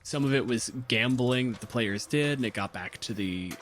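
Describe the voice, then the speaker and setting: A man's voice: mid-range voice, normal speed, fairly bright tone. A man co-hosting a podcast as game master, speaking in an informal, casual storytelling way. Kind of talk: casual narration and podcast promotion.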